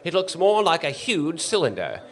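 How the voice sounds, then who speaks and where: A man's voice: fairly high pitch, sing-song, normal speed, fairly bright tone. An actor playing an earnest, eager male radio news commentator in a 1930s radio drama, with a formal, polite announcer delivery. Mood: excited, awed. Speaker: stage actor in a radio play.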